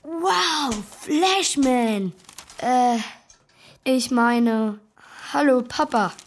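Cheeky, keen young boy hero-in-waiting, raised pitch, taking his time, children's radio drama. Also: extremely slow, slight background noise, heavily acted. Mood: cheeky, confident. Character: eager cheeky young boy posing as superhero's son. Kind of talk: children's radio play acting.

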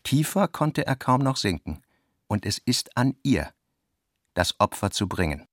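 A man's voice: mid-range voice, sing-song, unhurried, a bit bright, dry recording, studio quality. A man narrating literary prose as the narrator of a radio drama.

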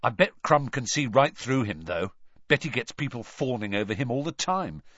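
A man voicing an excitable teenage boy wizard who is eager and scathing by turns, on a dull, dry recording. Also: medium pitch, moderate pace, dry recording.

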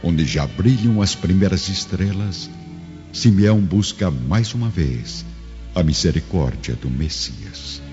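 A man's voice: deep voice, lively intonation, takes it slowly. A man narrating a radio drama from a script in a solemn, theatrical manner. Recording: dull recording under music.

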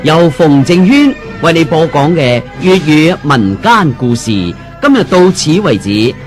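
A man giving a formal, measured sign-off as a radio announcer. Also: fairly high pitch, sing-song, over music. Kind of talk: broadcast sign-off.

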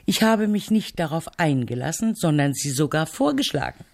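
Headstrong older-aunt novelist type, middle-aged, dry n teasing, voice swoops around a lot, radio play. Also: female voice, sits low, lively intonation, normal speed, creaky, a little mellow. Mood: imperious, sardonic. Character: headstrong, sardonic crime novelist aunt, imperious and provocative. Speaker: radio drama actor. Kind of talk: German radio play dialogue.

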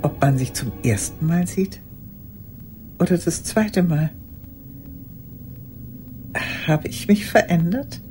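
An older woman acting a formal, lonely old woman in a radio play monologue, speaking in a measured, deliberate way. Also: on the low side, animated, music in the background, poor audio. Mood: quiet, reflective.

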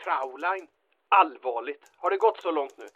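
A woman's voice: low voice, animated, a bit bright, highs cut off. An actress playing a blunt, impatient woman in a radio drama, with a sardonic, exasperated way of talking. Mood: impatient, alarmed.

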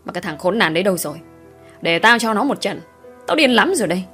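A woman's voice: fairly low pitch, animated, normal speed, soft background music, lightly acted. A woman voicing a furious, strict middle-aged village father who scolds and growls at his daughter. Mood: furious scolding.

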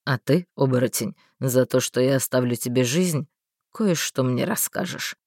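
Brief: a woman doing a gruff, weary male sorcerer, low and dry, strict but caring. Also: moderate pace, a little mellow, no echo. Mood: stern. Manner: stern, muffled. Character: stern weary male sorcerer, protective guardian.

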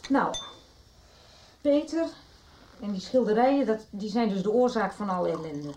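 A woman's voice: medium pitch, lively intonation, normal speed, warm tone, a little hiss or hum, lightly acted, rough recording. An actress playing a middle-aged mother, fussing and conciliatory, in a radio soap. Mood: placating, fussing. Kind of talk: 1990s radio soap acting.

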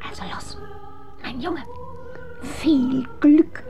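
Elderly woman, kindly, doting, motherly, mellow, crackly, radio drama. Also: high voice, animated, extremely slow, vocal fry, soft background music, heavily acted. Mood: encouraging. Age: older. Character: kindly, doting old lady, devoted contest spectator.

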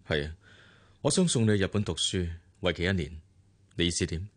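An actor playing a wealthy businessman boss in a radio drama, calm and coolly dismissive, with a Hong Kong Cantonese accent. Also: sits low, brisk.

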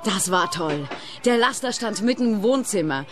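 A woman's voice: mid-range voice, expressive, normal speed, background noise, lightly acted. An actress playing an eccentric young female trucker in a radio play, dreamy and oddly matter-of-fact. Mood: wistful, cheerfully morbid. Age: young adult.

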